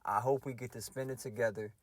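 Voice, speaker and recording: Man, mid-20s, casual solo rant host, slangy and reflective, medium pitch, dry close mic. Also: fairly even intonation, fast talker, no room sound. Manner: loose and conversational, heavy slang, reflective. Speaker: podcast host ranting.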